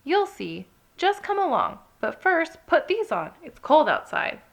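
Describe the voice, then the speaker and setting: A woman's voice: sits high, big pitch swings, unhurried, vocal fry, voice pushed up, exaggerated voice. A woman voicing a bossy, caring female rabbit in a children's storybook, exclaiming and encouraging in a cheerful way. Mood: eager, kind.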